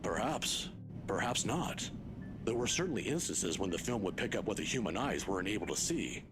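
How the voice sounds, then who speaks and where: A man's voice: fairly low pitch, sing-song, moderate pace, music in the background. An actor playing a kindly, patient old male professor in a video game, scholarly, pedantic and given to chuckling. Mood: thoughtful. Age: older.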